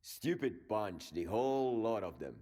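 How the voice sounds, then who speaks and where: Grumbling, animated male stalker. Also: fairly low pitch, a little slow. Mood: scornful. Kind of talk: video game voice acting.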